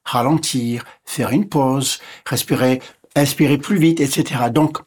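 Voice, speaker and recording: A man with a slightly husky, breathy voice, whose first language is English, talks as a physician guest. His pitch sits in an ordinary middle range. He explains in a measured way, listing and restating his points. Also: a little mellow. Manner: measured, lists and repeats points while explaining. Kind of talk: explanatory interview talk.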